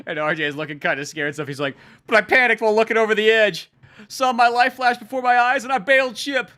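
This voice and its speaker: A young adult man co-hosting a podcast, giving casual, animated commentary on a comic, with slang, swearing, acted-out lines and frequent laughter. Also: high-pitched, quick pace. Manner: laughs often, acts out lines and sound effects; slangy and profane. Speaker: podcast co-host and comic creator.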